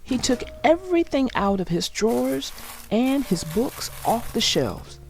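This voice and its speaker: A woman narrating a children's storybook aloud with deliberate, expressive phrasing for young listeners. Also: fairly high pitch, rough recording.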